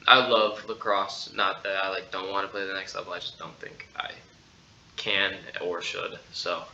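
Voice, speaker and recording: A teenage guy, a student athlete guest, talking modestly and informally. His voice is quite forceful and bright, though the recording is slightly dull and echoey. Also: sits low, level tone, normal speed, gravelly. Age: teenage high school senior. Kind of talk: casual chat. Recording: dull, echoey sound with faint noise.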